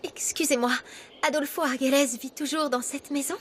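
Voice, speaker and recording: A woman's voice: high-pitched, steady tone, normal speed, a little hiss or hum, natural voice. An actress playing a polite, uneasy young woman visiting someone. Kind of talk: dubbed drama acting.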